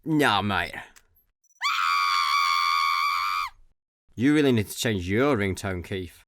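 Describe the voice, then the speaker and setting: A man's voice: on the low side, expressive, moderate pace, slight background noise, close to an everyday voice. A man with a Scottish accent playing a laid-back, dim, easily distracted slacker in a comedy sci-fi radio play. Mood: offhand. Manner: casual, offhand.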